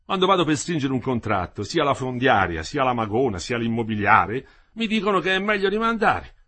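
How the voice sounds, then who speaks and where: A man voicing a middle-aged male building contractor, smooth-talking and paternal, speaking up in a raised, persuasive voice. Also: medium pitch, very animated, fairly quick, pushed and bright.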